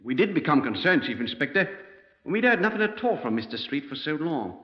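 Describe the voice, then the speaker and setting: A man's voice: a little high, big pitch swings, brisk. An actor playing a precise, fussy, deferential oil company man in a 1950s radio crime drama. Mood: fussy, explanatory. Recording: dull, roomy 1950s radio recording.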